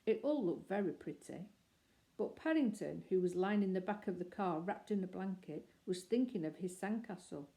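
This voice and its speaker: Woman reading a storybook, mellow tone. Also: mid-range voice, expressive, normal speed. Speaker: narrator.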